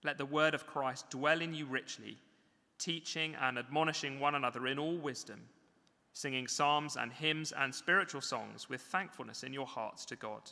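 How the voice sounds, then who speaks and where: A man with a bright, clear voice reads scripture aloud in a measured, deliberate way. The sound rings with a long echo, as in a church hall. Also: medium pitch. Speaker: scripture reader.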